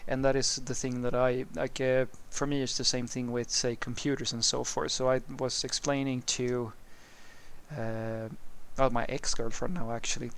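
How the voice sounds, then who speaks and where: A man from Sweden, a native Swedish speaker, talks through a programming question off the cuff. His voice has a gravelly, creaky edge and sits at an ordinary middle pitch. He rambles, restarts his sentences and piles up fillers as he thinks aloud. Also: little pitch movement, moderate pace. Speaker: software developer answering a viewer question. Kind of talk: casual unscripted monologue.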